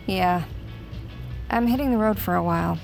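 Young punk band girl, wry n casual, bit of fry, radio drama w music under. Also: medium pitch, a little slow. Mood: wistful, wry. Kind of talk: naturalistic audio drama dialogue.

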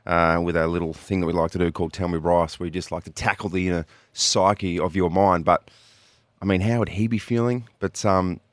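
Australian male radio host, very low voice, dry studio sound, chatty and upbeat. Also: fairly even intonation, brisk, no room sound. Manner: chatty, laughs often, reads out phone and text numbers. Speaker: radio sports show host. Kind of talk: upbeat breakfast radio banter. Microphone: studio microphone.

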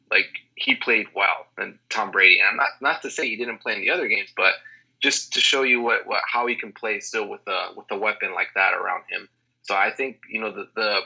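A man guest on a sports podcast, talking in an animated way with lots of restarts over an internet call. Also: fairly low pitch, creaky, breathy edge, dull audio. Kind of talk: casual sports opinion chat.

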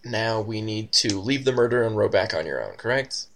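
Lively gravelly man reading a story game. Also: fairly low pitch, a little fast. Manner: reads text expressively, then chats. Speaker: game narrator.

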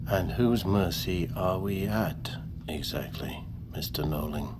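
An actor playing a stern male expedition leader in an audio drama, formal and measured, with quiet menace in his questions. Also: deep voice, noisy background. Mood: cool, challenging. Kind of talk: audio fiction drama acting. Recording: dramatic music behind the voice.

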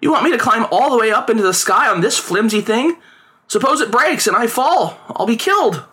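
A man's voice: extremely high pitch, animated, moderate pace, sharp tone, pitched above the performer's own voice, heavily acted. A man doing a frightened, protesting boy. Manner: indignant, alarmed.

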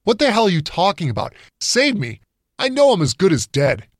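A man's voice: fairly high pitch, animated, brisk, dry recording, clean studio sound, natural voice. A man voicing a frightened, infected man who talks tense and blunt.

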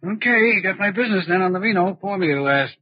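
A man playing a smooth-talking, cocky American swindler in a 1940s radio crime drama, slangy and coaxing. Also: high voice, expressive, quick pace, no echo. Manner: slangy, coaxing, calls his partner baby. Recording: muffled, dull vintage 1940s radio recording.

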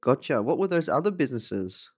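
A man's voice: medium pitch, very animated, brisk, darkish tone, slightly rough. A man hosting a podcast in a casual, informal way, repeating words for emphasis. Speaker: podcast host interviewing a guest. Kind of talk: casual podcast intro and interview.

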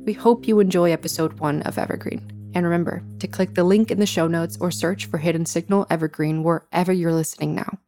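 A woman's voice: lowish voice, fairly even intonation, a little fast, few pauses, mellow, dry recording, faint music behind. A woman announcer reading a scripted podcast introduction.

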